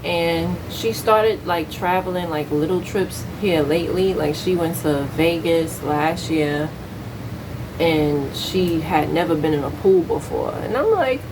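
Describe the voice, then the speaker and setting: Candid American woman, low-pitched, noisy recording. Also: measured pace. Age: 30s. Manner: candid, slangy, opinionated. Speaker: vlogger. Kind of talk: casual chat to camera.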